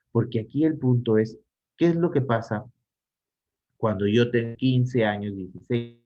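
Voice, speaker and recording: A man's voice: mid-range voice, highs cut off. A man with a Peruvian Spanish accent giving a psychology lecture, posing rhetorical questions to teach. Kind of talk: online conference talk.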